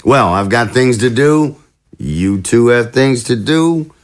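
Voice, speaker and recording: An actor playing a mischievous, glib political boss in an audio drama, with a sly, folksy way of talking. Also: male voice, medium pitch, animated, slow pace. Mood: breezy, teasing. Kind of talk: audio drama dialogue acting.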